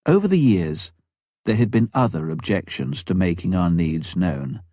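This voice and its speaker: Male audiobook reader for memoir narration: lowish pitch, animated melody, deliberate phrasing, dry recording. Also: dry recording.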